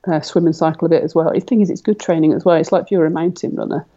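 A woman's voice: low voice, fairly even intonation, very fast, mellow, muffled recording. A woman in her forties, a guest athlete, talking casually in an interview and thinking aloud with lots of fillers. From Britain. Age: 40s.

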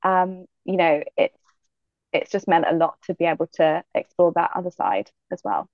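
Gravelly low-voiced woman athlete. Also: normal speed, no echo, muffled recording. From Jamaica. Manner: relaxed, thoughtful, many fillers. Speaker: guest athlete. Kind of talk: interview answers.

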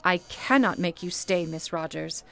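A woman's voice: on the low side, gravelly, a bit bright. A woman playing a composed, motherly switchboard supervisor, formal and authoritative, in an old-time radio play. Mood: earnest, motherly. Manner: formal, measured, authoritative, coaxing.

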